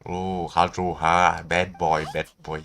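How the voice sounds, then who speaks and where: A man's voice: sits low, level tone, brisk, fairly bright tone. A man with a Thai accent, a native Thai speaker, giving playful, casual live commentary as a game streamer and switching languages.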